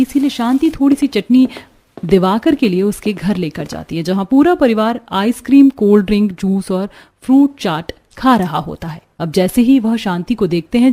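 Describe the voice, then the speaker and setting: A woman's voice: medium pitch, warm tone. A woman reading scripted narration for a cartoon story. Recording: music behind the voice. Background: background music.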